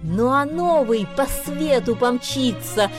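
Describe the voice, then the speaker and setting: Gentle female winter-tale narrator, played by an actress, unhurried verse, radio play, clear music backing. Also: high voice, animated. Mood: tender, festive. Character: gentle female storyteller of a magical winter night. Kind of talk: poetic recitation over music.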